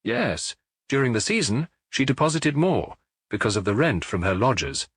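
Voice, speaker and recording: A man reading an audiobook, voicing a middle-aged male police officer who gives his report in a measured, matter-of-fact way. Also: mid-range voice, sing-song, slightly rough, dry recording, studio quality. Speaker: audiobook reader.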